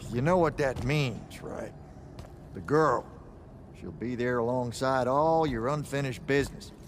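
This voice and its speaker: A man's voice: mid-range voice, a little slow, background noise, natural voice. Salty, folksy veteran mage played by a man. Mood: wry, matter-of-fact. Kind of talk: video game cutscene acting.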